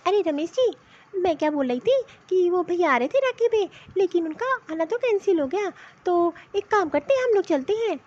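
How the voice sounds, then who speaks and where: A woman's voice: extremely high pitch, expressive, normal speed, a little mellow, dry recording. A woman voicing a scheming, grumbling young daughter-in-law in a comedy cartoon, recorded with somewhat dull sound. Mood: sweet, persuasive. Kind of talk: Hindi comedy cartoon story dialogue.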